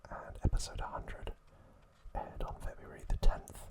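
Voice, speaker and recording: A man hosting ASMR, whispering a recap in a hushed, unhurried manner with deliberate pauses. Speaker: ASMR host.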